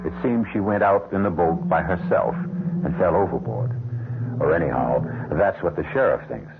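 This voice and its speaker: An actor playing the wry, sardonic American host of a radio mystery, narrating the story. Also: male voice, sits low, animated, moderate pace, gravelly, background noise. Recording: tinny old radio recording. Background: loud music.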